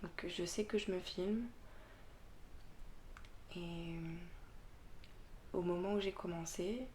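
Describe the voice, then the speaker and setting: A woman with a fairly low voice talks slowly and without a script, stopping and restarting her sentences. She often drops to a soft, half-whispered tone and laughs quietly at herself. A faint hiss sits under her voice. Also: steady tone. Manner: slow, hesitant, with many fillers and restarts. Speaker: tarot reader and channel host. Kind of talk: unscripted casual vlog monologue.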